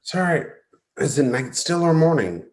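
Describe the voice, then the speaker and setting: A man's voice: a little high, big pitch swings, moderate pace. A man acting a sleepy, casual character just woken up, in a staged play reading. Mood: groggy, casual. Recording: echoey room sound.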